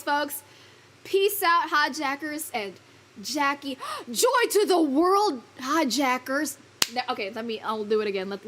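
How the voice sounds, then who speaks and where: High-voiced giggly woman streamer. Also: pushed and bright, slight background noise. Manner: giggly, rambling, swears casually; loose and playful, fumbles and restarts lines. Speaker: virtual streamer. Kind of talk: casual live-stream chat with viewers.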